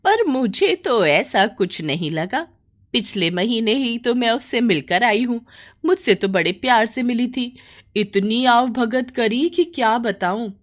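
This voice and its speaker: A woman voicing a kindly, doubtful village aunt in a bedtime story, chatting in a drawn-out way. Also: fairly high pitch, lively intonation, voice pushed up. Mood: mildly surprised.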